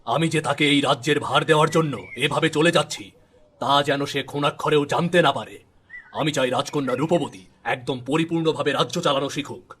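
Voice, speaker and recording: A man voicing a grave, authoritative king and father in a storybook cartoon, with a solemn, slightly husky voice. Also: a little high, moderate pace, fairly bright tone, a little hiss or hum, natural voice. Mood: confiding. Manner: commanding, solemn.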